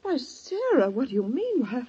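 Meek, wounded sister. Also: female voice, high voice, sing-song, moderate pace, mellow, breathy edge, exaggerated voice. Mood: plaintive. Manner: plaintive, pleading. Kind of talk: 1940s radio acting. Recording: dull old radio recording.

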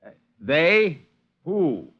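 A man's voice: fairly high pitch, very animated, extremely slow, husky, pitched above the performer's own voice, heavily acted. A man voicing a brash, impatient, wisecracking newspaper reporter, in 1950s radio drama style on a dull old recording. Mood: wisecracking, brusque. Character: brash fast-talking male newspaper reporter.

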